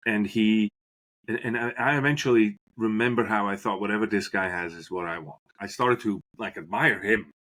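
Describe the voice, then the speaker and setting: Middle-aged Swiss-born man, low-pitched, earnest podcast guest recounting his life story. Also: normal speed, fairly bright tone, poor audio. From Switzerland. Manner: earnest, restarts phrases while searching for words. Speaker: guest, business professor. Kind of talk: podcast interview, reflective storytelling.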